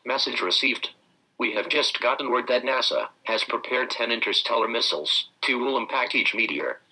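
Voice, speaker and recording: A man's voice: medium pitch, normal speed, sharp tone, low-quality recording. A synthetic-sounding man reading scripted emergency broadcast announcements in a formal, impersonal manner.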